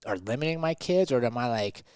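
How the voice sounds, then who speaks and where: A man's voice: medium pitch, animated, brisk, darkish tone, clear, resonant tone, dry recording, studio quality. A man talking conversationally as a motivational podcast host and coach, emphatic and fond of rhetorical questions.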